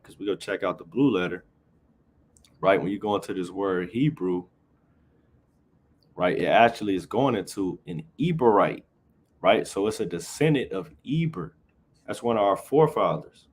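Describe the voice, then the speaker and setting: A man's voice: deep voice, slightly rough. A man teaching scripture informally on a livestream, reading verses aloud, then explaining them casually and tagging nearly every phrase with "right?". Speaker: Bible teacher.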